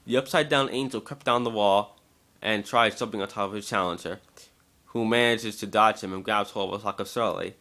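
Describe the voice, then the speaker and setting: A man's voice: on the low side, fairly quick, pushed and bright. A man giving an amateur scripted narration of a story, now and then stumbling over the words.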